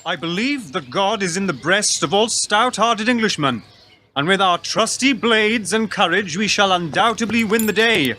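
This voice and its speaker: A man's voice: high-pitched, lively intonation, moderate pace, sharp tone, a little hiss or hum. An actor playing a proud, pompous king who declaims in an old-fashioned way. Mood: haughty.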